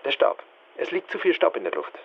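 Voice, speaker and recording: An anxious, evasive phone caller in a radio drama. The short, halting phrases swing widely in pitch from a medium-pitched voice, with a dull, narrowed sound. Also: male voice, huge pitch swings, creaky, slightly rough, exaggerated voice. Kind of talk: radio drama, one side of a phone call. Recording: dull, muffled band-limited sound.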